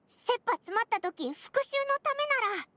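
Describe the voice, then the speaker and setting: A woman voicing a tiny, excitable, earnest childlike companion creature in a Japanese game, with a squeaky voice. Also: normal speed, dry recording, full character voice. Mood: concerned.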